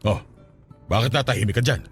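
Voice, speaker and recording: A man's voice: medium pitch, fairly quick, husky, soft background music. An actor playing an attentive, probing middle-aged businessman talking to his partner in a Tagalog radio drama. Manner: probing, solicitous.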